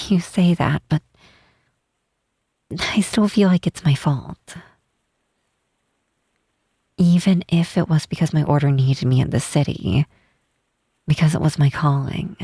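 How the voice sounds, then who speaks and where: A tall half-troll woman cleric, played by an actress in an ASMR roleplay, shy and weighed down by guilt. She talks very slowly and haltingly, in a soft voice with a gravelly creak, recorded close and dry. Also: deep voice, fairly even intonation, dry recording, heavily acted. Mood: guilty, regretful. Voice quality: soft, husky, breathy. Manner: hesitant, halting, apologetic, with sighs. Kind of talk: ASMR roleplay, intimate scripted monologue.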